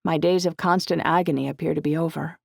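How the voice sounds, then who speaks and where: Audiobook heroine voiced by a narrator: earnest young woman, candid and conversational, pitched up, dry sound. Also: steady tone, fast, creaky, no echo. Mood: wry, confiding.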